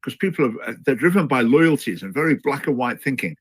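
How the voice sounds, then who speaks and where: A man speaking in a measured, hesitant way in a video commentary, with many fillers and restarts. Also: medium pitch, expressive, breathy edge. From Scotland. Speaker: commentator in a played clip.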